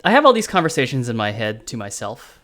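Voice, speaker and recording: Rambling man with halting restarts. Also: mid-range voice, sing-song, fairly bright tone. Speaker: game developer co-host. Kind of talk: casual chat during a dev stream.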